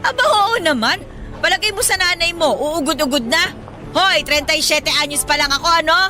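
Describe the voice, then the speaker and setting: A woman's voice: high voice, fairly quick, pushed and bright, some chatter behind. An actress playing a hardworking, affectionate mother in her late thirties in a Filipino radio drama, colloquial and playfully indignant. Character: hardworking, proud, affectionate 37-year-old mother driving a pedicab.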